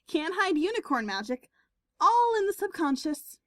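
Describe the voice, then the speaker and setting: A woman's voice: very high-pitched, very animated, takes it slowly, a bit bright, dry recording, clean studio sound, heavily acted. A woman acting a smug, mischievous unicorn pony in a fan audio drama, knowing and mocking. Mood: gleeful teasing. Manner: knowing, mocking, self-assured.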